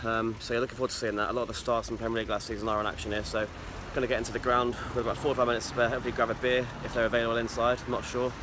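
A man's voice: mid-range voice, flat delivery, racing, few pauses, background noise. A young man talking casually to his phone held at arm's length, with chatty, rambling asides. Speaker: vlogger. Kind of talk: casual vlog to camera. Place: outdoors at a football ground and at home.

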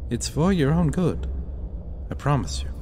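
A man playing a vain, possessive vampire captor in a dark fantasy ASMR roleplay, speaking with theatrical, condescending pauses. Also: a little high, very animated, a little hiss or hum. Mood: coaxing.

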